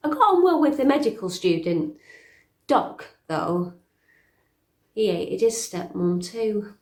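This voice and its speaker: In a roomy, echoing space, a woman acts a middle-aged fairytale princess looking back on her life. She talks slowly and confidingly, with dry, understated wit and a weary edge, as in an intimate stage monologue. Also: medium pitch, very animated. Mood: wry, confiding. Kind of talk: dramatic monologue, conversational.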